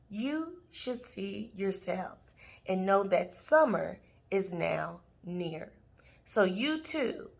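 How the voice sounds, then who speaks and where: A woman reading scripture aloud in a measured, deliberate way, pausing between phrases. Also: mid-range voice. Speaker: scripture reader.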